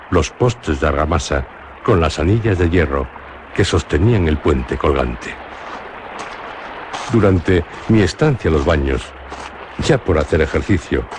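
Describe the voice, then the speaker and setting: A Spanish man narrates a radio drama with a low, deep voice. His delivery is measured and literary, like a storyteller. Faint noise sits under the recording. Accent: Spanish from Spain.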